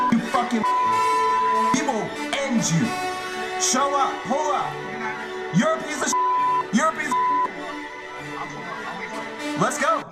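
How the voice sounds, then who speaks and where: Brief: man, heated call, furious and threatening, high-pitched, loud music playing underneath.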